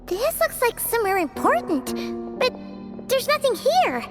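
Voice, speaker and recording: A woman voicing a tiny, excitable, anxious fairy companion in a video game, with a squeaky childlike voice. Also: a little slow, music in the background, exaggerated voice. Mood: alert, concerned. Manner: speaks of herself in third person, pleading. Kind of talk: animated video game voice acting.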